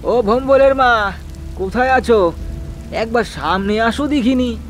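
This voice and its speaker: A man's voice: very high voice, a little slow, soft background music, pitched above the performer's own voice. A man voicing an anxious, grumbling village fish farmer in a horror cartoon, exclamatory and stammering, repeating his words. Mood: worried, alarmed. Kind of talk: Bengali horror cartoon dialogue.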